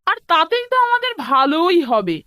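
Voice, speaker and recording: A woman voicing a small, cheeky, excitable schoolboy in a cartoon, chatty and full of exclamations. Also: very high voice, normal speed, no room sound, clean studio sound, exaggerated voice. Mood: eager. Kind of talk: cartoon voice acting.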